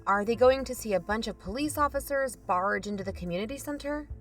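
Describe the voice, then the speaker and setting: A woman's voice: a little high, lively intonation, fairly quick, a bit bright, faint music behind. A woman playing a practical, cautious podcast co-host in a naturalistic audio drama.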